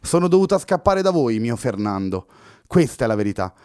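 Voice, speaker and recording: A man's voice: medium pitch, very animated, brisk, a little mellow, clean tone, no room sound, clean studio sound, close to an everyday voice. A man voicing a passionate young woman, speaking with fervent, raised emotional pleading. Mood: tender.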